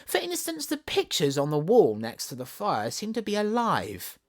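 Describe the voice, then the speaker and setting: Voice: mid-range voice, wildly swooping pitch, moderate pace, dry recording, clean studio sound. A narrator with a Southern English accent reading a children's storybook expressively. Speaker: audiobook narrator.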